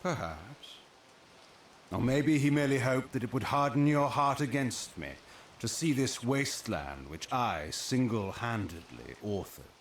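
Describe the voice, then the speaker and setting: An actor playing an ancient, cunning vampire lord in a video game, speaking in a deliberate, theatrical, ominous manner. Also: male voice, mid-range voice, lively intonation, reverberant, distant mic, slight background noise. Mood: wry, sly. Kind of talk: dramatic video game cutscene acting.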